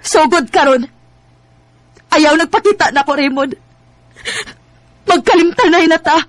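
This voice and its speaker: A very high-pitched woman's voice with a Cebuano Filipino accent. She is a married woman racked by guilt over two loves. The delivery is emotional and melodramatic, in the manner of Filipino radio drama. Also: a little slow, no echo. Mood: guilty, resolute.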